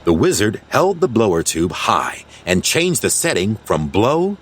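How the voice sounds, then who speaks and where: A man narrating a children's storybook in an animated, expressive way. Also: lowish voice, measured pace, a little hiss or hum. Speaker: audiobook narrator.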